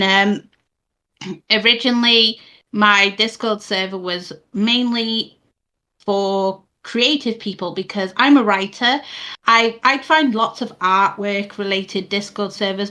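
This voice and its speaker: A woman's voice: medium pitch, slow, bright, forceful tone. A woman in her thirties from Wales with a Welsh accent and a soothing voice, chatting as an interview guest with lots of fillers and restarts. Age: 30s. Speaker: guest, VTuber. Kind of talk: casual interview chat. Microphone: boom mic.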